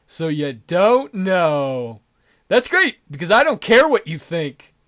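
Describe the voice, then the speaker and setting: A man's voice: sits high, very animated, takes it slowly, fairly bright tone, full character voice. A man voicing a self-absorbed, fatalist god who complains in a dramatic way. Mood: haughty.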